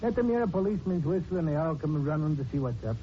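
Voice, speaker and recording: An actor playing a plain-spoken, dutiful police sergeant in a 1940s radio drama, deferential and matter-of-fact. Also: male voice, on the high side, expressive, quick pace, dark tone, lightly acted. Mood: earnest, respectful. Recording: dull, noisy 1940s radio recording.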